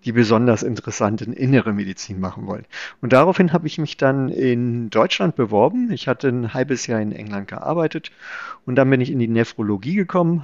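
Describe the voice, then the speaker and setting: A man's voice: medium pitch, no room sound. A middle-aged man from Germany, a chief physician, explaining his work in a conversational podcast interview with frequent hesitations. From Germany (Palatinate-born, raised in Berlin). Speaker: guest, chief physician in nephrology. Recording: dull, dry remote recording.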